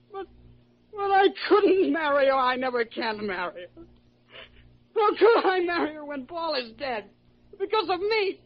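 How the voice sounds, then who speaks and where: An actor playing a guilt-ridden young war veteran under sedation, halting and repetitive, in a classic radio drama. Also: male voice, extremely high pitch. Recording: dull old radio recording with music.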